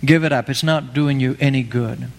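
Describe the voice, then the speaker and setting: A man's voice: mid-range voice, fairly even intonation, moderate pace, creaky. A man from Argentina preaching an evangelistic sermon, emphatic and pleading, repeating his key phrases. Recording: long reverb of a hall.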